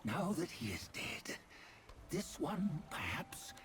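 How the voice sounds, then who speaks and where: An actor playing a solemn, cryptic old male sage in a video game, speaking in measured, archaic phrases. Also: a little high, very animated, noisy background. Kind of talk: dramatic video game dialogue. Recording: music behind the voice. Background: game score music.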